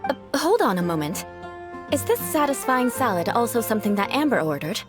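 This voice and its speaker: A proud aristocratic young knight in an anime-style game. She speaks high with lively swoops, formal and imperious, making cool mock threats, over clear background music. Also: a little fast. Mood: composed. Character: haughty young aristocratic female knight, coolly vengeful yet caring.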